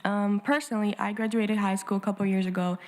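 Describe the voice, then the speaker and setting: A woman's voice: mid-range voice, level tone, quick pace, a little mellow. A woman around twenty answering on a summit panel, direct and enthusiastic, in a conference room. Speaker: youth panelist.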